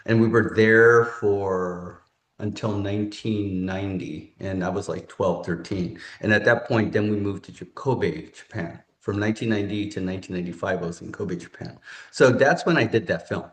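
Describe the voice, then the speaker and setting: Rambling low-voiced man in a roomy room. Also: normal speed. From California, United States. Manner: rambling, self-correcting, many fillers. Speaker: podcast guest. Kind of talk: casual interview chat. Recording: roomy echo.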